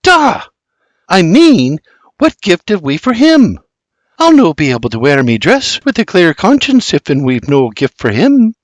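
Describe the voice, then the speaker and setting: Man voicing a young lass, giddy, high girlish voice, lively swings, storybook narration. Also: a little slow, no room sound, full character voice. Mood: excited. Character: young Scottish farm girl, gleeful.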